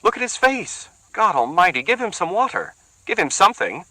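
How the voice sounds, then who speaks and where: A man voicing a tired, bitter woman who speaks slowly and distinctly. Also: high voice, very animated, no room sound, voice pushed up, heavily acted, low-quality recording. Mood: pleading. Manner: slow, distinct, quietly cutting. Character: weary bitter woman, slow and deliberate.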